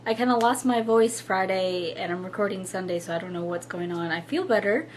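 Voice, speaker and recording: Young woman, hoarse and husky, mid-range voice, casual chatty host talking to camera. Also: medium pitch, fairly quick, a little hiss or hum. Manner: chatty, apologetic, with frequent fillers and little laughs. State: hoarse, losing her voice. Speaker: knitting podcast host.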